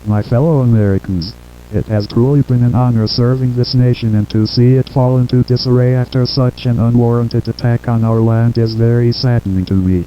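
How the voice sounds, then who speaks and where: A synthetic man's voice playing a solemn president giving a formal, measured wartime address to the nation. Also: medium pitch, steady tone, lightly acted. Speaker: synthesized voice reading a president's statement. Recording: dull lo-fi broadcast with hum and noise.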